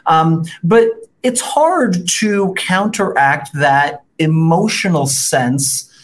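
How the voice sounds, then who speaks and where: A guest expert in a political interview, speaking in a measured way, citing research and adding frequent "right?" tags. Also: medium pitch, animated.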